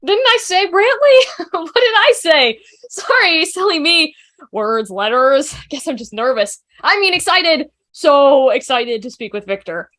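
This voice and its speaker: A nervous, wisecracking young woman, played by a woman at an amateur table read. She rambles eagerly in a high voice. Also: lively intonation, measured pace, fairly bright tone.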